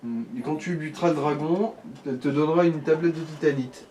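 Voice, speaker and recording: A man's voice: a little high, a little fast, warm tone, distant mic. A man giving casual, slangy let's play commentary as he guides the player. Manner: colloquial, slangy. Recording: background noise behind the voice.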